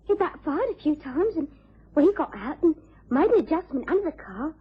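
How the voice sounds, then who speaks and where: A woman's voice: high-pitched, big pitch swings, fairly quick. An actress playing an anxious, timid young chorus girl, speaking hesitantly in a mid-century radio drama. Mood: anxious, upset. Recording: dull vintage radio recording.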